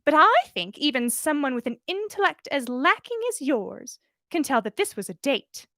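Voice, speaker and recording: Casting: female reader playing a prim older storybook villainess, high-voiced, sweetly condescending and haughty. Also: sing-song, moderate pace, pushed and bright, dry recording, studio quality, heavily acted. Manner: sugary, haughty.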